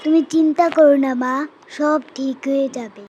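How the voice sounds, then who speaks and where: High-pitched sweet little village girl voiced by a woman. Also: steady tone, normal speed, darkish tone, slight background noise, natural voice. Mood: reassuring. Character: sweet dutiful little village girl, hungry but cheerful. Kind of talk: children's cartoon dialogue.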